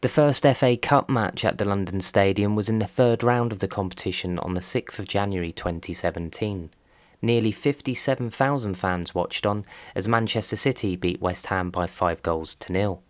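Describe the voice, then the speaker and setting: Low-voiced man narrating football history. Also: moderate pace, no echo. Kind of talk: scripted documentary narration.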